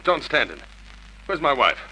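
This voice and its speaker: Hot-tempered male suspect husband, mid pitch, animated and defensive, noisy old radio recording. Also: normal speed. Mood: demanding. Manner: defensive, agitated. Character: hot-tempered, upset husband under suspicion. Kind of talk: Golden Age radio drama acting.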